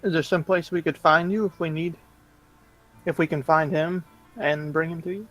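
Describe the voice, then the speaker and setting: A man's voice: fairly high pitch, steady tone, normal speed, a bit bright, faint music behind, poor audio. A man talking casually as a player in a tabletop roleplaying game.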